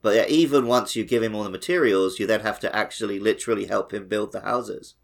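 A man's voice: on the low side, fast talker, gravelly. A man co-hosting a gaming podcast, talking casually and conversationally. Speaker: podcast co-host.

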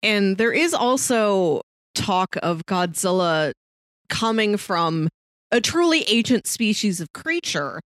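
A woman with a mid-pitched voice chats casually as a podcast co-host. The sound is close and dry, with very little room echo, and her delivery is conversational. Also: lively intonation, takes it slowly. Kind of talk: casual film discussion chat.

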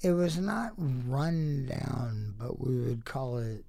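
A middle-aged or older man reminiscing casually as an interview guest, halting and wryly dry in his phrasing. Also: medium pitch, vocal fry, warm tone, no room sound.

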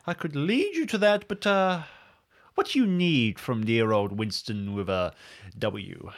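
A man's voice: mid-range voice, lively intonation, measured pace, pitched below the performer's own voice. A man voicing a crazy old self-styled sage in a tabletop game, with rambling, archaic, theatrical phrasing. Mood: musing.

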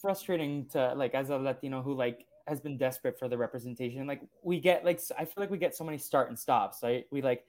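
A man's voice: mid-range voice, quick pace, hardly any pauses, darkish tone. A man hosting a podcast interview, talking casually and eagerly with many filler words. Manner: casual, frequent "like" fillers, enthusiastic. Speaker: podcast host.

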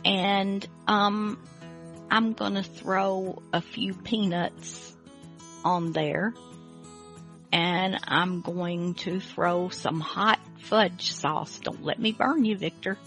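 A woman's voice: mid-range voice, fairly even intonation, extremely slow, a bit bright, faint music behind. A woman hosting a radio show in a folksy, chatty way. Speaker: host.

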